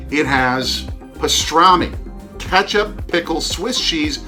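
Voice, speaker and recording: Bright-voiced man presenting over light music. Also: fairly high pitch, lively intonation, slow. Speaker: travel food show host, father co-hosting with his child. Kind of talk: upbeat semi-scripted vlog presenting. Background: background music.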